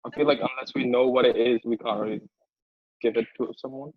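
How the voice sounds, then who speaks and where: A man's voice: mid-range voice, steady tone, brisk. A young man bantering in a casual podcast, joking and slangy. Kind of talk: casual banter on video call. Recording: dull lo-fi mic.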